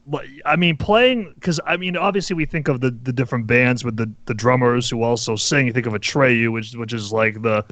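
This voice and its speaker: Medium-pitched man, dry sound, hesitant chat. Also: steady tone, a little fast, hardly any pauses, fairly bright tone, no echo. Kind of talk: casual interview chat.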